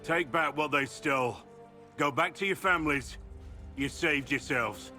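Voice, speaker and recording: An actor playing a gruff, seasoned warrior recruiter in a fantasy game, speaking in a blunt, earnest, plain-spoken way. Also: male voice, on the high side, measured pace, pushed and bright, heavily acted. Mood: commanding. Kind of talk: dramatic fantasy game dialogue. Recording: background music under the voice.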